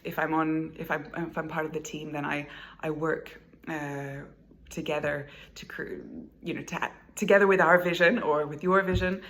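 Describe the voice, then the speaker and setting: Middle-aged female, deep register with heavy vocal fry, reflective and halting, informal self-presentation to camera. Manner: hesitant, reflective, pausing mid-thought. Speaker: job applicant, therapist. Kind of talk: informal recorded self-presentation for a job application.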